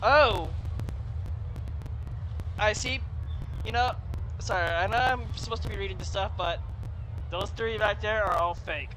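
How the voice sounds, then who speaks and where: A woman's voice: medium pitch, measured pace, long pauses, fairly bright tone, a little hiss or hum, poor audio. A woman doing casual live commentary as a game streamer, chatty and informal. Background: game music.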